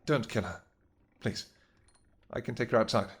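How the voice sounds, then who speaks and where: A man acting a husky, tender vampire king. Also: on the low side. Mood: tender, reassuring. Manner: earnest, gentle, formal old-fashioned diction, halting. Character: devoted vampire king, tender, anxious, apologetic husband. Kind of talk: intimate roleplay audio acting.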